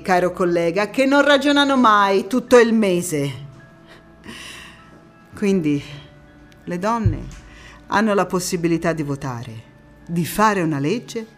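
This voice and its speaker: An actress performing a theatrical monologue as a firm, young female politician who moves between oratory and intimate recollection. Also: mid-range voice. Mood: sardonic, firm, then reflective, subdued. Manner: impassioned oratory alternating with quiet intimate narration. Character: young female Constituent Assembly deputy, firm, indignant, reflective, ironic. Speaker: stage actress.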